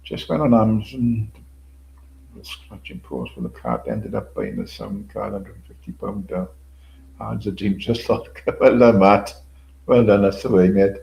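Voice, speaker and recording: An older man with a low, gravelly voice chats loosely to his livestream viewers. He rambles from one thought to the next, repeats himself and drops in casual swearing. He keeps up a steady unhurried chatter at home. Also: a little hiss or hum, poor audio. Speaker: livestream host. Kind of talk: casual solo livestream chat.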